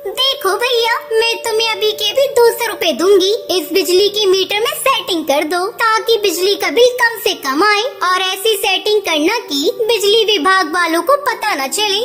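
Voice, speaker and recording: Very shrill, bossy miser mother-in-law bird voiced by a woman. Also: a little slow, few pauses, a bit bright, faint music behind. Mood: sly, firm. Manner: scolding, haggling, emphatic. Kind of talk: Hindi cartoon voice acting.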